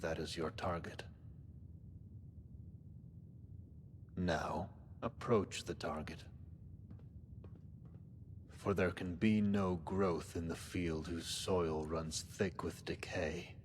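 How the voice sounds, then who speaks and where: An actor playing a cold, menacing post-apocalyptic cult overlord, speaking in a pompous, archaic, deliberate manner as scripted game dialogue. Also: male voice, sits low, steady tone, big-room sound, a little hiss or hum. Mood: commanding.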